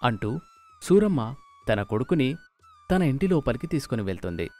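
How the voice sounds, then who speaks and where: A man's voice: medium pitch, sing-song, normal speed, a little mellow. A man reading scripted narration for a moral story. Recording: music behind the voice.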